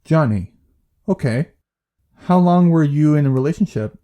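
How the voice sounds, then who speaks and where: A man playing a calm, patient therapist in an audio drama, asking questions in a measured, gentle way. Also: a little high, natural voice. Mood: patient, curious. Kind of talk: naturalistic audio drama dialogue.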